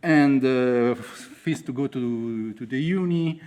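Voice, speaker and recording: A man from Italy with an Italian accent, an author telling his own story at a festival in halting, broken English. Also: mid-range voice, a little mellow, smooth. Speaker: author on a panel. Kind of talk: personal account to a festival audience.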